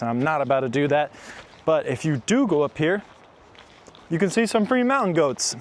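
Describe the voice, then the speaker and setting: A man chatting casually and informally as a travel vlogger out on a hike. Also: on the high side. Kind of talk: casual chat while filming a hike. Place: outdoors on a mountain hike. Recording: clear outdoor ambient noise. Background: outdoor ambient noise.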